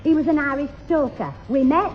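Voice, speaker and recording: A woman's voice: high voice, fairly quick, close to an everyday voice. A woman playing a middle-aged, romance-hungry widow, coy and gushing, in a 1960s radio sitcom. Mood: gushing, delighted. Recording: dull old 1960s radio recording, faint music.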